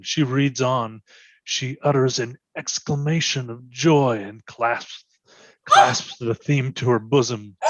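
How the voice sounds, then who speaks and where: A man's voice: medium pitch, dry recording, lo-fi sound. A man reading stage directions as scripted narration for a readers theatre.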